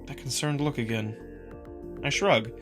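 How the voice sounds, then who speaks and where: A man's voice: medium pitch, unhurried, over music. A man narrating a visual novel in the first person, reading from a script. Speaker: narrator and performer.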